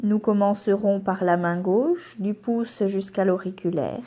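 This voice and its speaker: Woman, yoga nidra instructor, slow pace, soft mellow tone, calm and soothing, guided meditation delivery. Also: mid-range voice.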